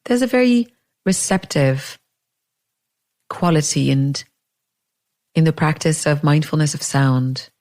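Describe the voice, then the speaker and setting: A woman leading a guided meditation in a gentle, unhurried way, leaving long pauses between phrases. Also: low voice, animated.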